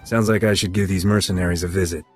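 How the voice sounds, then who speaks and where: An actor playing a wry, cocky smuggler captain with a flippant way of talking in a video game. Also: male voice, low-pitched, steady tone, fast, close to an everyday voice. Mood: wry, breezy. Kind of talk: video game character acting. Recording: music under the voice.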